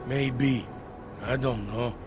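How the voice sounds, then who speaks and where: A man's voice: mid-range voice, steady tone, very slow, music in the background. A man acting a world-weary, middle-aged police detective in a video game, with a hesitant, wry, conversational delivery. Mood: skeptical. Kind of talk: video game cutscene dialogue.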